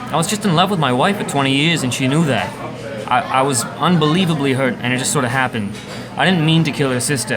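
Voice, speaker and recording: A man's voice: medium pitch, close to an everyday voice. Man acting a guilt-ridden killer, music behind. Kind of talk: amateur radio play acting.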